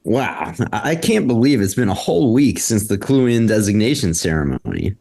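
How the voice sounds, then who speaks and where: A man playing a supportive, thoughtful partner, gentle and enthusiastic, in a table read. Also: on the low side, sing-song, normal speed, creaky, heavily acted. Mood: admiring, eager. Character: supportive, thoughtful husband or boyfriend.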